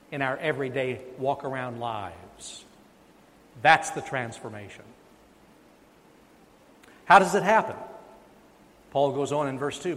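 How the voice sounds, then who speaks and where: Man preaching in a reverberant sanctuary. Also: a bit bright. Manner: emphatic, rises in pitch and volume on key points. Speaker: pastor. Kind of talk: sermon. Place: church sanctuary. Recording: long reverb of a church sanctuary.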